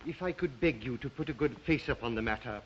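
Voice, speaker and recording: An actor playing a courtly, sinister aristocratic Cossack count, with a Russian accent and a formal, ingratiating manner in an old-fashioned drama. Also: male voice, medium pitch, fast talker, muffled recording, a little hiss or hum, natural voice. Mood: smooth, ingratiating.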